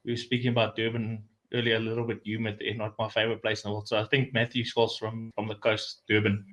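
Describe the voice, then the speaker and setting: A man's voice: fairly low pitch, completely flat delivery, fast talker, a bit bright. A South African man, a native Afrikaans speaker with an Afrikaans accent, chatting on a podcast as a guest, often hesitating and restarting his sentences. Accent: Afrikaans-accented English. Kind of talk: casual podcast chat.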